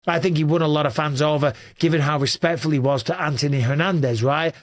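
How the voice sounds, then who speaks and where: A middle-aged man with an English accent, hosting a fast, shouty commentary monologue, his voice a little raspy. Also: on the high side, dry recording. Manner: talks very fast and shouts a lot. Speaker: host.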